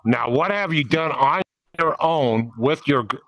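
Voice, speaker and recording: A man's voice: a little high, expressive, a little slow, fairly bright tone, dry recording. A man leading a casual chat among friends, talking in a profane, teasing way and prompting others for stories.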